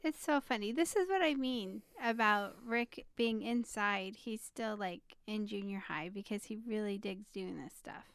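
A woman's voice: medium pitch, a little slow, few pauses, fairly bright tone, clean tone, dry recording. A woman in her forties chatting casually with her husband at home, teasing him in a rambling, meandering way. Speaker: podcast co-host. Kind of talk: casual chat with spouse.

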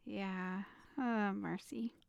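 Gravelly, smooth-voiced chatty woman. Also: medium pitch, steady tone, very slow, no echo, muffled recording. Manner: chatty, teasing, meandering. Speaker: podcast co-host. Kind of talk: casual chat with spouse.

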